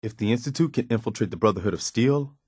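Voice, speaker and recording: An idealistic, principled militia man for a video game. His voice sits on the low side, with an earnest, plain-spoken delivery that stays conversational. The sound is very dry and close, with no room to it. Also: expressive, fast, darkish tone, breathy edge, no room sound. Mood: earnest, thoughtful. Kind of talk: video game companion dialogue, naturalistic acting.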